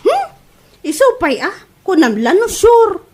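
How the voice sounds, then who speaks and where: A young Ilocano daughter acted by a woman with a high, swooping voice. She is indignant and defensive, complaining emphatically in comedy-drama style. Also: very animated, unhurried, a little mellow, heavily acted. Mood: aggrieved.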